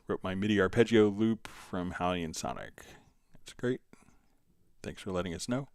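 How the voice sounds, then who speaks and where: A man hosting a live stream, casually explaining music software step by step and answering viewer questions in an easygoing way. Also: deep voice, normal speed, gravelly, dry recording, clean studio sound.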